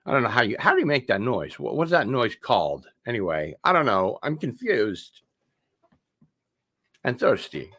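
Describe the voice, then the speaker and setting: A man's voice: mid-range voice, big pitch swings, normal speed, fairly bright tone, dry recording, studio quality. A man hosting a casual unboxing livestream, rambling along with wry asides. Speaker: host of an online show.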